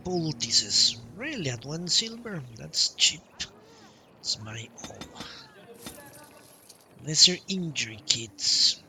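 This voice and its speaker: Slow-talking man muttering through a game stream. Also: on the high side, big pitch swings, pushed and bright, a little hiss or hum. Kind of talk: casual live game playthrough commentary, thinking aloud. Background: game music.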